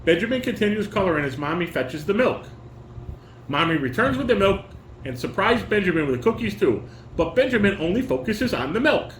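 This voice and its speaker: Dad-type man running a home table read. Animated pitch, halting reading, frequent self-corrections, roomy sound with some noise. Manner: halting reader, corrects and repeats himself. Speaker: father directing a family table read. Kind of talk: reading stage directions and coaching. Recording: roomy, noisy home recording.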